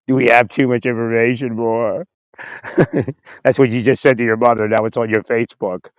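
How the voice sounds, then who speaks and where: A man with a slightly hoarse, raspy voice talking in a rambling, halting way, repeating words, chuckling often and asking "right?" as he speaks into his phone outdoors. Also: mid-range voice, flat delivery, no room sound. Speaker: vlogger. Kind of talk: rambling casual monologue. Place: outdoors in winter.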